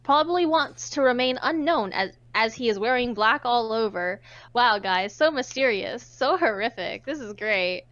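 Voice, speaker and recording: A woman's voice: fairly high pitch, lively intonation, moderate pace, a bit bright, muffled recording. A woman streamer reading narration aloud in a casual way, dropping in playful, jokey asides as she goes. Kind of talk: casual group chat mixed with read-aloud narration.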